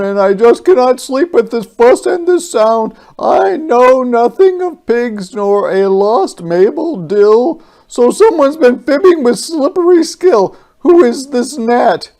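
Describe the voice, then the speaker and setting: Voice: medium pitch, darkish tone, voice pushed up. A storybook reader voicing a huge, grumpy space alien, bellowing indignantly in rhyme.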